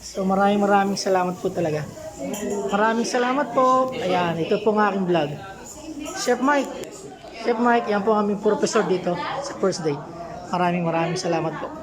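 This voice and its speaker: A woman's voice: mid-range voice, normal speed, poor audio. A woman with a Filipino accent chatting casually for a vlog, often repeating words as she greets people and shows them around. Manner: chatty, repeats words, mixes Tagalog and English. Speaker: vlogger, baking student. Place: busy school rooms. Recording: loud busy crowd chatter behind. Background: loud chatter of people.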